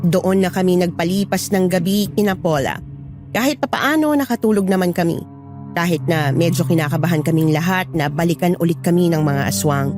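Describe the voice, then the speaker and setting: A woman narrating a scripted first-person Filipino horror story. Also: fairly low pitch.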